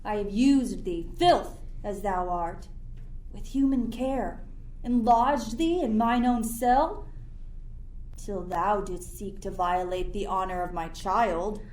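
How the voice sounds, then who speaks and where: A woman's voice: medium pitch, slow, darkish tone, natural voice, low-quality recording. A young woman playing an imperious sorcerer who rules an island, in theatrical Shakespearean verse for a radio play. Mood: furious, contemptuous. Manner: commanding, theatrical. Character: Prospero, imperious, stern sorcerer ruling an island.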